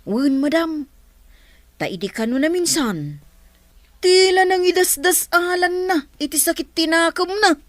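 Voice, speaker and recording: A woman's voice: extremely high pitch, lively intonation, unhurried, dry recording. A woman acting a loyal, tattling young household helper in an Ilocano radio drama, eager and confiding. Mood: confiding, concerned.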